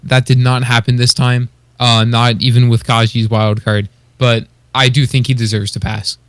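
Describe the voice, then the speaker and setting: A man's voice: mid-range voice, level tone, normal speed, clear, resonant tone, no room sound. A man co-hosting a podcast in casual chat, hesitantly searching for words as he explains his opinions. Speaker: podcast co-host.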